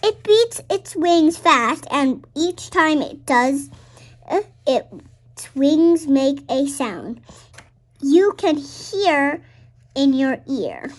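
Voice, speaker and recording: A woman's voice: high-pitched, big pitch swings, very slow. A young girl reading a children's picture book aloud, now and then stumbling over words and repeating them. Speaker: read-aloud show host.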